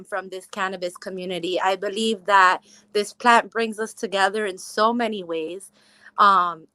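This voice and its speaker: A young woman from Miami, speaking as an interview guest, earnest and grateful in a steady way. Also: mid-range voice, no echo. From Miami, Florida. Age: young adult. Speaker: guest, cannabis grower.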